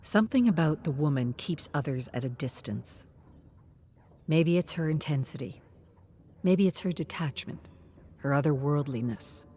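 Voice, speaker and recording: A woman reading a scripted story in a dramatic, deliberate way, at times dropping to a hushed tone. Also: deep voice, expressive, a little hiss or hum. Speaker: narrator.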